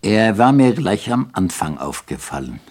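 A man narrating a first-person story in a measured, evenly paced storytelling manner. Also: fairly low pitch, big pitch swings, a little mellow. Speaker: audiobook narrator.

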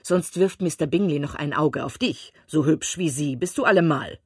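A woman reading aloud and voicing a dry, ironic older husband who teases his wife in an unhurried way. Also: low-pitched, a little mellow, husky, dry recording. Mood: dry teasing.

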